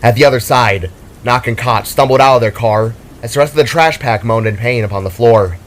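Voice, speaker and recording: A man's voice: mid-range voice, a little fast, a little hiss or hum. A man reading a scripted, dramatic story as its narrator. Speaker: author and narrator.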